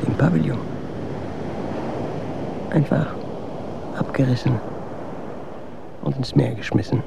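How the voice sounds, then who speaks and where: A brooding man in a radio play. His voice is heavily creaky and comes in halting fragments, with surf and wind clearly audible behind him. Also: mid-range voice, steady tone. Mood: brooding, stunned. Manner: halting, repetitive, broken phrases. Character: brooding man recalling a demolished seaside pier. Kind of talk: radio drama acting. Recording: windy seashore noise behind.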